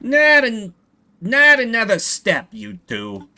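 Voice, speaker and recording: A man's voice: very high-pitched, huge pitch swings, slow, pushed and bright, voice pushed up, exaggerated voice. A man voicing a cocky, shifty rat bandit in video game dialogue, with a theatrical, menacing delivery. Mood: threatening.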